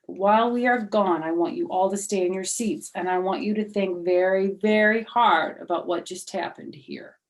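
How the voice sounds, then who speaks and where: A woman's voice: mid-range voice, normal speed, hardly any pauses, close to an everyday voice, low-quality recording. A woman voicing a stern, exasperated male schoolteacher who speaks with firm emphasis to children.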